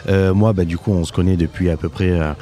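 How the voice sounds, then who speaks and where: A man's voice: deep voice, fairly even intonation, quick pace, dark tone. A man hosting a podcast, interviewing his guest in a casual, conversational way.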